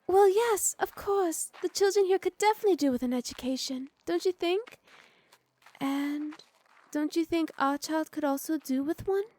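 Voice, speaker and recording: A woman's voice: very high voice, normal speed, a little mellow, no echo. A woman playing a doting, teasing young noblewoman wife in an intimate ASMR roleplay, sweet and coaxing. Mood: playful coaxing. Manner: sweet, coaxing, playfully scolding.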